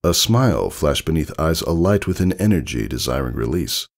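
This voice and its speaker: A man narrates a story from a script. His voice is very deep and creaky, with fry in it. The intonation swings widely as he reads, keeping the delivery expressive. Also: normal speed. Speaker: fan fiction reader.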